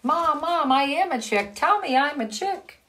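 A woman voicing a small duckling who insists he is a chick, in a high pleading childlike voice for a storybook read-aloud. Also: expressive, a little slow, a bit bright.

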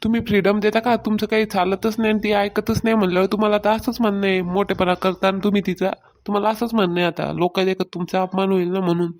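A gossiping, meddling village woman from a comedy cartoon, acted by a woman. She has a rural Maharashtrian accent and a fairly even, middle-pitched voice. She keeps needling as she insists on telling her tales. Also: fast, hardly any pauses, lightly acted. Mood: taunting, insistent. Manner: taunting, insistent storytelling. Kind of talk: Marathi comedy cartoon dialogue.

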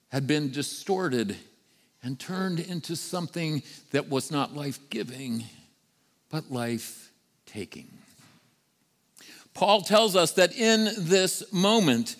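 A middle-aged man preaching a sermon as a priest, with deliberate phrasing and emphatic repetition. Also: on the high side. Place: church sanctuary, standing before the altar. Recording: reverberant church hall.

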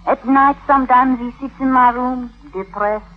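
An actress playing a coolly calculating young Victorian wife, demure and measured, in a 1950s radio drama. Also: female voice, high-pitched, fairly even intonation, natural voice. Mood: quietly knowing. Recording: thin, tinny vintage radio recording.

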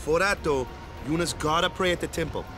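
An actor playing an easygoing young guardian in a video game who talks in a breezy, upbeat way. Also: male voice, fairly high pitch, brisk, music in the background, lightly acted. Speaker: game character.

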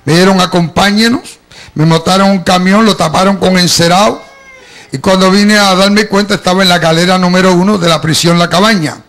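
A middle-aged Puerto Rican man with a touch of Cuban in his speech preaches his testimony to a congregation in a church hall, holding a handheld mic. His voice sits high and carries a gravelly rasp. He tells his story in a lively way, calling the listeners "beloved" as he goes. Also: fairly quick. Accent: Puerto Rican Spanish with some Cuban. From Puerto Rico. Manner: storytelling, addresses the congregation as "amado". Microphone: handheld microphone.

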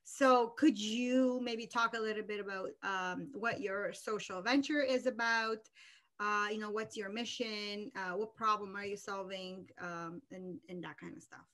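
A woman's voice: medium pitch, fairly even intonation, a little slow, a bit bright. A woman in her thirties hosting a casual interview over a video call, friendly and hesitant with many fillers, recorded on a laptop webcam at home. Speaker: host. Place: home room with wood ceiling.

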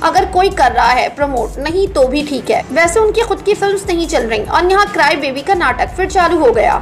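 A woman's voice: high voice, animated, moderate pace. A woman narrating scripted entertainment news as a voice-over. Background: background music.

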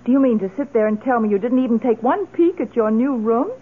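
An actress playing a self-assured, decisive, well-off middle-aged widow in a radio drama. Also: female voice, fairly high pitch, animated, quick pace, slightly rough. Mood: pleased. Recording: muffled old radio broadcast recording.

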